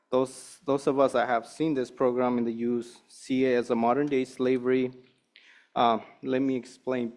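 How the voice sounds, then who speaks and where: Gravelly man reading testimony. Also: mid-range voice, a little mellow. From Guerrero, Mexico. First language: Mixteco. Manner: hesitant, many ums and restarts. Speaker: community organizer.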